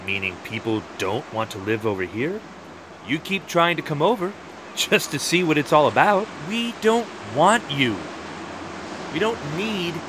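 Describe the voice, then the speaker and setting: Man acting a proud, argumentative guard, swinging pitch. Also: background noise, close to an everyday voice. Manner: emphatic, argumentative. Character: proud, defensive male border wall guard. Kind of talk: one-act audio play acting.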